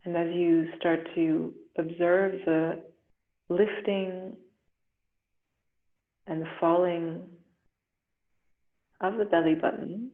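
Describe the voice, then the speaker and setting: A woman with a slightly low voice guides a relaxation meditation very slowly and gently, leaving long pauses. Her recording is roomy and echoing, with a thin, muffled, phone-like sound. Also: flat delivery. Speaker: yoga instructor.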